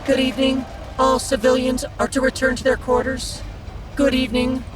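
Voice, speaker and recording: A woman voicing a calm starship computer in a sci-fi audio drama, giving clipped announcements. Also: high voice, moderate pace, background noise, natural voice. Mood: impassive.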